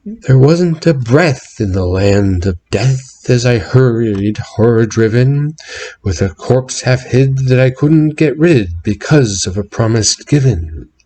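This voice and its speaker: A man's voice: mid-range voice, lively intonation, takes it slowly, dark tone, breathy edge. A man giving a theatrical dramatic reading of a narrative poem, swelling and dropping in intensity as he tells it. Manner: theatrical storytelling with big swells and drops in intensity. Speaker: reader narrating a ballad.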